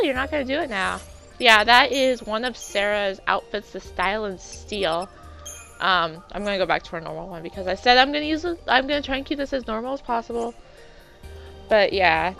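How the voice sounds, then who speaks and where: A woman's voice: medium pitch, creaky, fairly bright tone. A woman with a sore throat, hosting a let's play in chatty, rambling, casual gameplay commentary.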